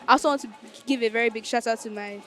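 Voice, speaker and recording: A woman's voice: on the high side, rapid-fire, a bit bright. A young woman with a Nigerian accent speaking informally as a student dancer in an interview, often dropping in "like". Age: teenager or young adult. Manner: conversational, frequent "like". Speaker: student dancer in a school play. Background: music.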